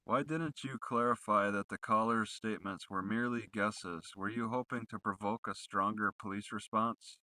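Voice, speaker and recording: A man's voice: fairly low pitch, steady tone, normal speed, hardly any pauses, pushed and bright, no room sound. A man putting accusatory, leading questions in the style of a rehearsed cross-examination.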